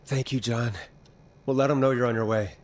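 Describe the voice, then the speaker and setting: An actor playing a steady, reassuring but urgent radio host in a horror game drama. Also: male voice, medium pitch, brisk, close to an everyday voice. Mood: urgent, earnest. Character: calm, earnest male 1980s radio DJ in crisis.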